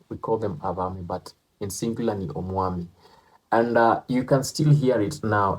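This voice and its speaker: A man's voice: on the low side, darkish tone, poor audio. A man from Kenya with a Luhya-flavoured Kenyan accent, talking casually about history, rambling, repeating himself and checking that listeners follow. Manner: rambling, repeats and restarts, asks listeners "you get that?". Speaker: channel host.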